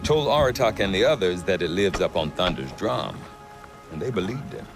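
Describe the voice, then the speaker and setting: An actor playing a gruff middle-aged trader who talks with dry humor. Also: male voice, low voice, normal speed. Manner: wry, dry humor, lively delivery. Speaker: merchant trader. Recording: game cutscene with music behind.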